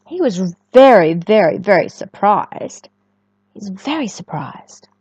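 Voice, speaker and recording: A woman's voice: mid-range voice, sing-song, slow pace, a little mellow, slightly rough, no room sound, highs cut off. A woman telling a picture-book story to young children, with chatty asides and words repeated for emphasis.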